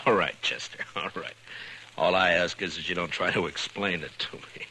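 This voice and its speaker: A man's voice: low voice, moderate pace, pushed and bright. A man playing a stern, terse, weary American frontier marshal in a 1950s radio western. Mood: dry, relaxed. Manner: terse, authoritative. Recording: noisy vintage 1950s radio recording.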